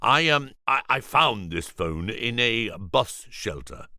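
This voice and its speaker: A man's voice: medium pitch, lively intonation, slow pace, sharp tone, no room sound, clean studio sound, heavily acted. A man voicing a shy, polite male dragon who talks hesitantly and stammers. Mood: nervous, earnest.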